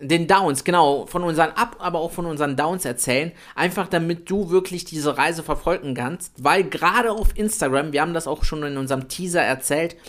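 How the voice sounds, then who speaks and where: A man in his late twenties co-hosting a podcast, talking in a chatty, energetic way about building an online business. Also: on the high side. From Italy. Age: 20s. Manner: energetic, chatty, swears lightly. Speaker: podcast co-host. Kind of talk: casual podcast chat.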